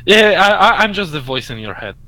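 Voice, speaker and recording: A man with a Russian accent chatting casually as a gaming podcast co-host, halting and repeating words. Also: high voice, sing-song, pushed and bright, no room sound.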